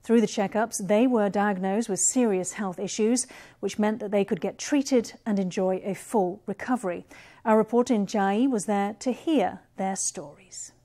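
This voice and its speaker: Mellow-voiced woman news anchor. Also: medium pitch, normal speed. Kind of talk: scripted news presenting. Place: television news studio.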